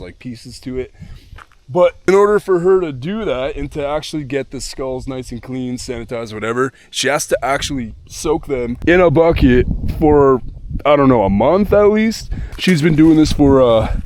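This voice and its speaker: A young man vlogging outdoors at a dump site, disgusted and exasperated, cursing casually while his voice goes hoarse. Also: medium pitch, a little hiss or hum. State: voice going hoarse. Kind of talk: casual vlog commentary. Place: outdoors at a remote dumping spot.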